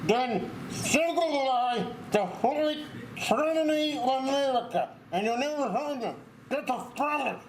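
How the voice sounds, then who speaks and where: A man's voice: extremely high pitch, lively intonation, a little slow, a little hiss or hum, full character voice, low-quality recording. A man voicing an indignant, threatening talking fish in a theatrical, haranguing table read. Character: indignant talking fish, male, making threats as terrorist leader.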